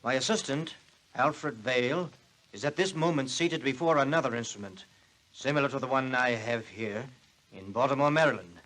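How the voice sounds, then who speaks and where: An imaginative inventor played by a man speaks with earnest, formal care. His pitch is medium, and the deliberate delivery is that of 1940s radio drama. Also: a bit bright, lightly acted, rough recording. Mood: solemn.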